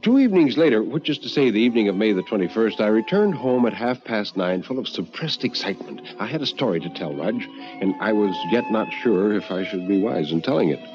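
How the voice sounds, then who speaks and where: A man reading stories in a measured, formal old-time radio storytelling style. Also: lowish voice, big pitch swings. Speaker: story reader. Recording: muffled radio recording, faint music.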